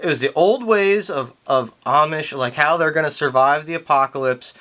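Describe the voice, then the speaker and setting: A man hosting a casual chat, telling a story with playful deadpan teasing. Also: fairly high pitch, normal speed. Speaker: host.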